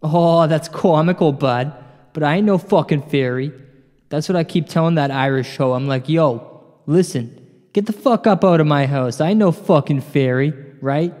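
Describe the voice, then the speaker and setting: A man's voice: a little high, moderate pace, darkish tone, close to an everyday voice. A man playing a suspicious, foul-mouthed thug with slangy, defiant street talk in a comedy audio drama. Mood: defiant, irritated. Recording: long reverberant echo on voice.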